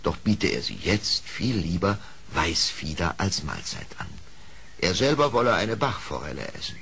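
A man narrating a scripted radio play story in an expressive storytelling manner. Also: low voice, normal speed, gravelly, a little hiss or hum.

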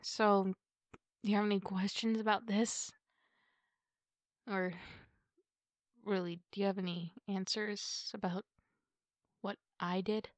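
A woman's voice: dry recording, close to an everyday voice. A woman playing a distraught, ashamed werewolf girlfriend in an ASMR roleplay, stammering and apologetic, with sighs breaking up her words. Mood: weary, apologetic. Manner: halting, stammering, sighing. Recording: steady rumbling background noise.

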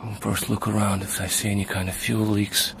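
Guy muttering thru a car repair outside, lowish voice, engine noise behind. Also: flat delivery, a little fast, gravelly. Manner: talks to himself while working, short muttered remarks. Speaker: home mechanic. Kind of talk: hands-on repair walkthrough. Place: outdoors at a car's engine bay.

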